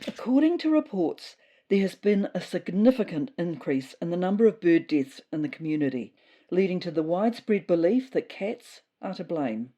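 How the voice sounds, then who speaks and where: A woman playing a self-important female cat who delivers rules in a formal, measured official-briefing manner for a comedy podcast. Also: fairly low pitch, very animated, dark tone. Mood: solemn, official. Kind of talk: scripted comedy fiction podcast.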